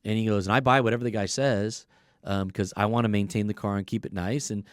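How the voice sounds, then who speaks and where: A man with a fairly low voice, talking casually on a podcast. He explains his points conversationally, like someone sharing work anecdotes. The recording is dry, with very little room sound. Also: fairly even intonation, fast talker, clear, resonant tone, no room sound. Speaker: car dealership service coach.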